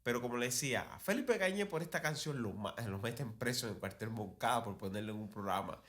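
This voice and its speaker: A man's voice: mid-range voice, fairly bright tone. A man with a Cuban accent hosting a conversational talk on cultural history, telling it like a digressive storyteller who repeats phrases for emphasis. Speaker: podcast host.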